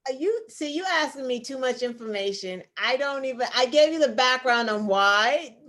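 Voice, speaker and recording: A woman's voice: sits high, expressive, moderate pace, a bit bright. A woman co-hosting an online news show, running a trivia game in casual chat and laughing often. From United States. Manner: laughs often, playful quizmaster. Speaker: co-host.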